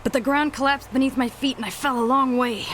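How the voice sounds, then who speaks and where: High-voiced plucky Smurf girl. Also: fairly even intonation, brisk, fairly bright tone, a little hiss or hum. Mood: alert. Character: feisty, brave young female Smurf, blunt adventurer. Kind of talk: animated game voice acting.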